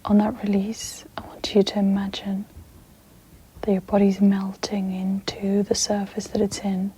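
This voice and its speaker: A woman guiding an ASMR sleep meditation in a breathy, mostly whispered voice, soothing and gentle, with long pauses. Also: medium pitch, completely flat delivery, a little hiss or hum.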